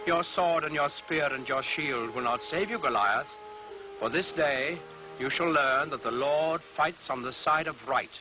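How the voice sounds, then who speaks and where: A man's voice: a little high, a little slow, noisy background, lightly acted. A man voicing a brave, thoughtful, devout shepherd boy in a storybook tale, speaking earnestly and resolutely. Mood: calm confidence. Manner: earnest, calm, resolute declaration. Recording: dull lo-fi recording, loud music behind.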